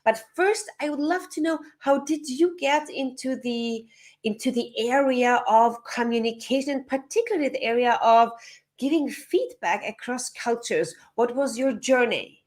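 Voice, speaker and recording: A woman's voice: sits high, unhurried. A woman hosting a live online interview, introducing a topic and guest and asking questions.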